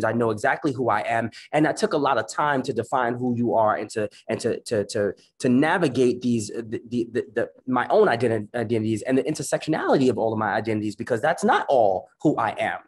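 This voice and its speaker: A man's voice: medium pitch, brisk, few pauses, breathy edge. A man whose first language is Spanish, speaking earnestly on a video call panel, often restarting and asking "right?". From United States. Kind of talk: reflective panel discussion. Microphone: webcam on video call.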